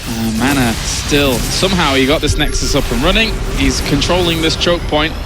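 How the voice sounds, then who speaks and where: A man casting an esports match with quick, excited play-by-play. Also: fairly high pitch, over music. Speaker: esports caster. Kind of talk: play-by-play commentary.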